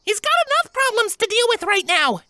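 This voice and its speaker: A man's voice: very high-pitched, sing-song, brisk, sharp tone, a little hiss or hum, pitched above the performer's own voice, exaggerated voice. A man voicing a scrappy, indignant young girl pony in a story reading. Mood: indignant, defiant. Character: feisty, scrappy little filly ponies, indignant young girls.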